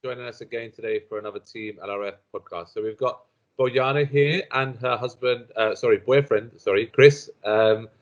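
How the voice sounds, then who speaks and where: A man's voice: medium pitch, moderate pace. A man hosting a podcast interview, introducing guests hesitantly with many ums and self-corrections. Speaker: podcast host. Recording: dull lo-fi sound.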